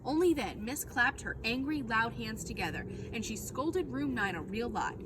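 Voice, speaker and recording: A woman narrates a children's book with plenty of expression. Her voice is on the high side and very animated in pitch. The recording has clear background noise throughout. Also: moderate pace. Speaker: storyteller.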